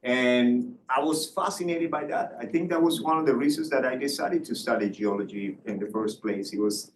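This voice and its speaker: A man's voice: medium pitch, moderate pace, echoey room, distant mic, background noise. A man from Colombia giving a university seminar lecture, hesitant with many fillers and restarts. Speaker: guest lecturer. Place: lecture room.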